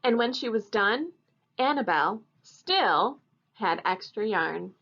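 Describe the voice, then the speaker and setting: A woman's voice: medium pitch, animated, slow, a bit bright. A woman who teaches first grade, reading a picture book aloud expressively for children. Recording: dull lo-fi audio.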